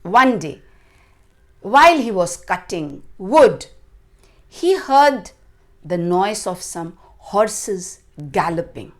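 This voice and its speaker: A woman narrates a story for children at an extremely slow, deliberate pace. Her pitch is lively and varied, at a middle height overall. She phrases dramatically and leans on key words.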